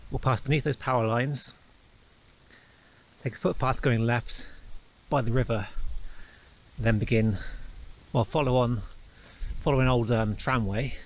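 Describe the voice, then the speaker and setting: A man narrating his hike for a walking vlog, thinking aloud with small restarts, recorded on a camera mic outdoors. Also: mid-range voice. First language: not a Welsh speaker. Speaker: hiking vlogger. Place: outdoors on a hillside in the countryside.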